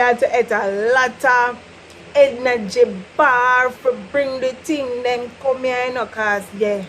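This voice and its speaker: A young woman from Jamaica with a Jamaican accent, teaching patois in an animated, laughing casual vlog. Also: a little high, slight background noise, poor audio. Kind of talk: casual chatty lesson.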